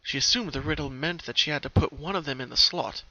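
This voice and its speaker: A man's voice: a little high, fast talker, sharp tone, dry recording. A man reading a story aloud as a dramatic, scripted narration, on a slightly lo-fi home recording.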